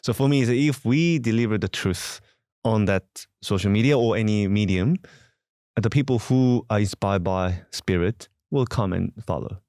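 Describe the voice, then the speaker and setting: Korean-accented priest, halting and unhurried. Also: male voice, fairly low pitch, darkish tone, no echo, studio quality. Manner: halting, searching for words with frequent fillers. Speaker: parish priest. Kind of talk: interview.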